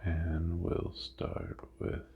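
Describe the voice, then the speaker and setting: A man leading a guided body scan meditation in an ASMR style, hushed, with drawn-out phrases and long pauses. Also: rumbling low, sing-song, gravelly. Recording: muffled phone-like sound with audible noise.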